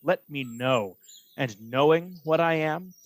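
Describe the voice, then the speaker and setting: A man acting a manipulative villain in a Shakespearean radio play, insinuating and theatrical, posing as a loyal officer. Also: on the high side. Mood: feigned concern. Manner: insinuating, measured, theatrical verse delivery.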